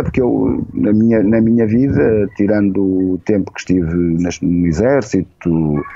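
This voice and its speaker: A middle-aged man with a European Portuguese accent speaks in a deliberate, halting way, full of fillers and restarts. His voice sits low and mellow. The sound is muffled and lo-fi. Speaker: guest, former radio journalist. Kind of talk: casual interview talk.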